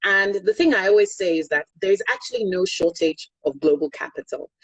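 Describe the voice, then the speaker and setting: A woman's voice: medium pitch, wildly swooping pitch, brisk, gravelly, husky, no room sound, poor audio. A middle-aged Nigerian woman, a Yoruba speaker, explaining energy business in an online interview in an articulate, pragmatic, emphatic way. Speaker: guest, energy consultant.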